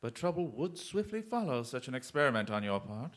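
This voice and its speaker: A man acts out a shrewd gentleman detective for a radio play. His speech is formal, precise and coolly polite, swinging through a wide, animated pitch range with an air of total command. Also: brisk. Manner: formal, precise, theatrical diction. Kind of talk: radio drama acting.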